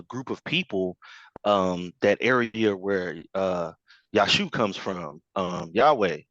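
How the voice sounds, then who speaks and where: A man with a low voice talks loosely in a casual online discussion, leaning on fillers and breaking into laughs. The sound is dry and muffled, as from a call. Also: dry recording. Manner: animated, laughing, trailing off with fillers.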